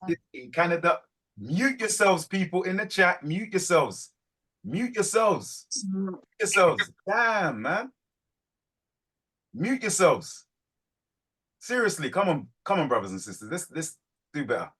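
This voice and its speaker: A man hosting a reading group on a video call, urging and animated, repeating phrases as he presses his points. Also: high voice, unhurried, pauses often, husky, low-quality recording.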